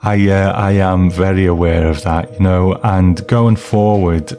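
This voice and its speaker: A man's voice: low voice, steady tone, a little slow, dark tone, soft background music. A man hosting a podcast, talking casually and reflectively in a rambling, self-deprecating way. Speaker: podcast host. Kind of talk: casual reflective podcast talk, reading listener mail.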